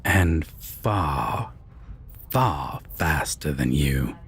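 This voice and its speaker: A man playing a sinister, possessive vampire villain in a roleplay audio drama, taunting and deliberate with a breathy, gravelly half-whisper. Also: a bass voice, animated, a little hiss or hum, exaggerated voice. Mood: cold taunting. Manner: slow, deliberate, taunting, with long pauses.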